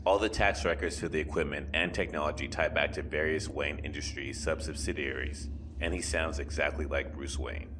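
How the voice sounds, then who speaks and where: Guy playing a confident superhero, really really deep, wry and chatty, noisy recording. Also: steady tone, a little fast. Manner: conversational, wry. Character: confident, wry, good-hearted male alien superhero. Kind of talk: audio drama acting.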